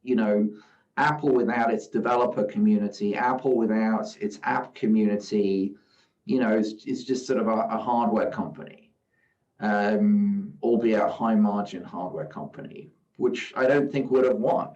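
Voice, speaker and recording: A middle-aged man from South Africa, a guest investor giving measured, reflective interview answers and often saying "you know", at a live event. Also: fairly low pitch, steady tone, a little mellow. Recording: roomy, distant, dull sound.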